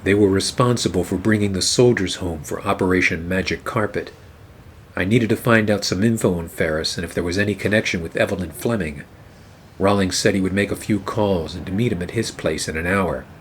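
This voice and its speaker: A man playing a wry, hard-boiled private eye and war veteran, narrating a noir radio play in a terse, deadpan way. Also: sits low, fairly quick, slight background noise, natural voice. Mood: brooding. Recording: music behind the voice. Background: music.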